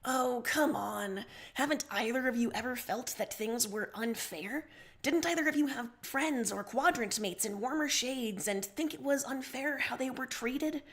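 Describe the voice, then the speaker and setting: A woman's voice: a little high, unhurried, fairly bright tone. A woman voicing a blunt, impatient young male rebel emperor in a read-aloud story. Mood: exasperated.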